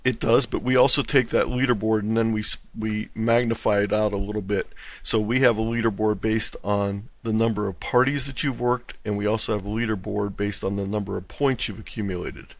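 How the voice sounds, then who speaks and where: A man with a fairly low voice gives a thorough, orderly explanation as an interview guest. The sound is dry, with no room echo. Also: steady tone, a little fast, gravelly. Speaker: interview guest, contest organizer.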